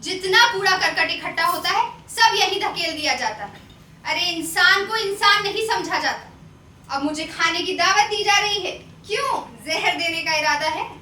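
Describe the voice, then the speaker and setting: Sharp-tongued courtesan played by a woman, very high piercing voice, scolding and proud, roomy stage play. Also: moderate pace. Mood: angry, scornful. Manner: scolding, declamatory. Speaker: stage actor. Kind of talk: Hindi stage play acting. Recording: roomy, distant stage recording with noise.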